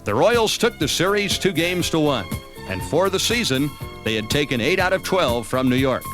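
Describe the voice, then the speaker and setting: A man's voice: a little high, sing-song, moderate pace. An American man reading scripted narration for a baseball season recap. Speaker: documentary narrator. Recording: music under the voice. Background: background music.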